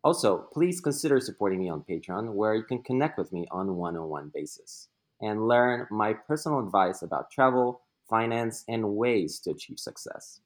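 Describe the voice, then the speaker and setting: A man's voice: lowish voice, animated, normal speed. A young man hosting a casual podcast interview, asking loose questions with fillers. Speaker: podcast host.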